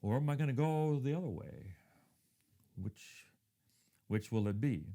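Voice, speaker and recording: A man in his fifties who grew up in Thailand, giving a reflective devotional talk built around a personal story and often dropping to a hushed tone. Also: mid-range voice, expressive, a little slow. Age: 50s.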